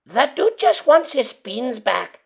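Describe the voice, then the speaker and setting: Woman doing a squeaky little chihuahua bandit, excitable. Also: slow pace, vocal fry, husky, heavily acted. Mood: urging. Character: small excitable male chihuahua bandit, bossy storyteller.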